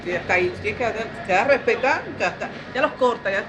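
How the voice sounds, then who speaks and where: A woman's voice: mid-range voice, lively intonation, fairly quick. A woman from Venezuela with a Venezuelan accent, a coffee vendor who talks cheerfully and laughs things off. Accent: Venezuelan Spanish. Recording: loud background noise.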